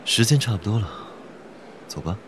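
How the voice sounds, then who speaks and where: A man acting a cynical, guarded heir in his twenties in an audio drama, cool, sardonic and deliberate. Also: on the low side, animated, slight background noise, natural voice. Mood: cool, bitter. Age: 20s. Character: cynical, guarded young heir, coolly scheming. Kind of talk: Chinese audio drama acting with inner monologue.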